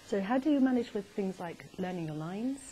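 Quick, mellow woman interviewer. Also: mid-range voice, lively intonation, rough recording. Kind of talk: live on-stage interview. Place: theatre stage in an arts centre, before an audience. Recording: live stage recording with faint noise.

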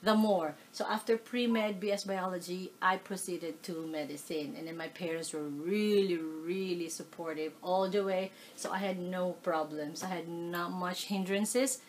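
A middle-aged woman from the Philippines with a Filipino accent, answering oral history questions in a living room, animated, with many fillers. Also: on the low side, a little slow, few pauses, slight background noise. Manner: animated, gestures while talking, frequent fillers. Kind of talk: casual oral history interview. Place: living room, seated on a leather sofa.

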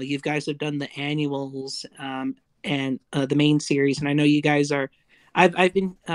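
A woman's voice: deep voice, no echo. A chatty, effusive woman speaking casually as an audience member with a question. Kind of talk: casual live voice chat.

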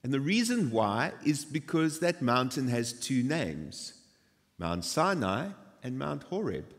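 A man's voice: on the high side, fairly bright tone, big-room sound. A man in his forties preaching a sermon on a church stage, telling stories with self-deprecating asides. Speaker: pastor.

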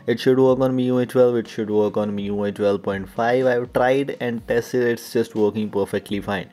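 A man's voice: mid-range voice, steady tone, moderate pace, mellow. A young man, hosting a casual tech tutorial and explaining things step by step in a repetitive way. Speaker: tech YouTuber and host.

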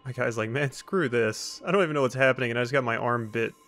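Guy doing a casual lets play, jokey and wry, normal pitch voice, faint music under him. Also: quick pace, fairly bright tone. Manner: wry, joking asides. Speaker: let's play host. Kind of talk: casual gameplay commentary.

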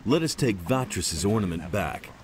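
An actor playing a blunt, plain-spoken adventurer hero with terse, matter-of-fact delivery in a video game. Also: male voice, on the low side, lively intonation, moderate pace, close to an everyday voice.

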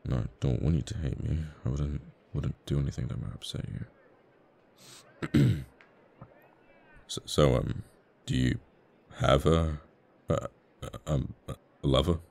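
Man acting very deep, flustered flirty fighter pilot. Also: steady tone, creaky, darkish tone, exaggerated voice. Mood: bashful, defensive. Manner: halting, stammering, bashful. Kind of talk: romantic ASMR audio roleplay.

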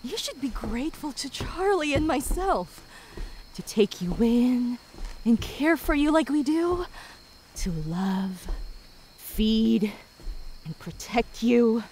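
A woman playing a sadistic, possessive female demon in an ASMR roleplay, theatrical, slipping between breathy whispers and menacing outbursts. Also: fairly high pitch, very animated, slow, a little mellow, slight background noise, low-quality recording. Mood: possessive rage. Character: sadistic, obsessive, possessive female demon stalking her pet.

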